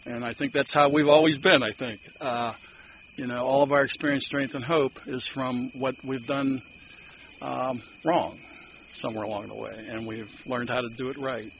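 An older man with a Pittsburgh accent sharing his story at a recovery meeting, rambling and halting with many fillers and restarts. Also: medium pitch, fairly even intonation, vocal fry. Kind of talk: personal sharing at a support meeting. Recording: dull lo-fi recording with background noise.

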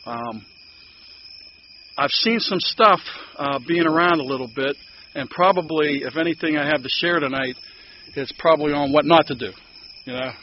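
An older man with a Pittsburgh accent sharing his story at a recovery meeting, rambling and halting with many fillers and restarts. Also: medium pitch. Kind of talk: personal sharing at a support meeting. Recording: dull lo-fi recording with background noise.

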